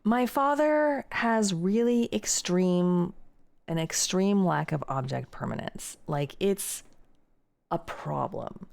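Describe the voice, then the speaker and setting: Young woman streamer, low gravelly voice, just chatting with chat. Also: animated, takes it slowly, darkish tone. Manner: chatty, reads viewer messages aloud and answers them. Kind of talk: casual chat reading chat aloud.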